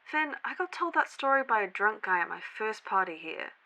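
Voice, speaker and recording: A woman's voice: mid-range voice, animated, normal speed, bright, forceful tone, muffled recording. Woman playing a wry, skeptical college student. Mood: doubtful, sarcastic. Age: young adult. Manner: dry, sardonic. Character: wry, skeptical young female college student and podcast co-host. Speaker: podcast co-host character. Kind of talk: audio drama acting.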